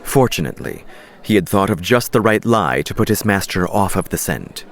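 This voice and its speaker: A man's voice: deep voice, big pitch swings, moderate pace, some chatter behind. A man narrating an audiobook story in a dramatic, scripted reading style.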